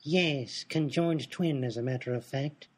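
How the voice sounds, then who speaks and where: An actor playing a calm, cagey criminal who speaks matter-of-factly in a comedic radio play. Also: male voice, medium pitch, a little slow, natural voice. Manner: unruffled, matter-of-fact, cagey. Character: calm, cagey mystery man confessing to murder.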